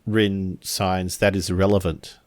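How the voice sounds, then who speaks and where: A man acting as game master, narrating a tabletop roleplaying game in a deliberate, halting way and relaying what characters say. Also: low-pitched, level tone, no room sound.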